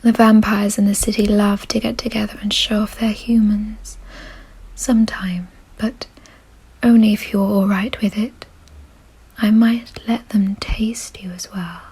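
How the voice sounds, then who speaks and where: A woman playing a kind, doting aristocratic vampire in an ASMR roleplay, in a soft, breathy whisper with a gentle, genteel, reassuring manner. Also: mid-range voice, level tone, unhurried. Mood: fond, doting.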